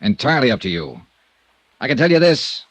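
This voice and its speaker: Casting: a hard-boiled investigator, dry and persistent, lively delivery. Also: male voice, mid-range voice, brisk, no room sound. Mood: terse. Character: tough wry male insurance investigator. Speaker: investigator and narrator. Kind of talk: 1950s radio drama acting.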